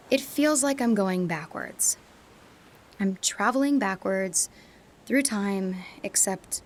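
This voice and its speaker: An actress playing a young female university student in a radio drama, reflecting quietly and sometimes dropping to a whisper. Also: medium pitch, a little hiss or hum, lightly acted. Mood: troubled. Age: young adult.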